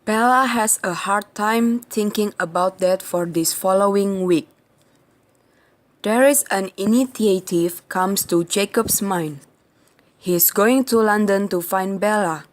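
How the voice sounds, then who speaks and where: A woman's voice: medium pitch, unhurried. A woman narrating an amateur radio play from a script.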